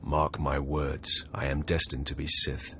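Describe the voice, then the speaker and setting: An actor playing an arrogant, menacing young Sith acolyte in a video game, cold, threatening and self-assured. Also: male voice, extremely low pitch, completely flat delivery, unhurried, bright, forceful tone, a little hiss or hum, full character voice.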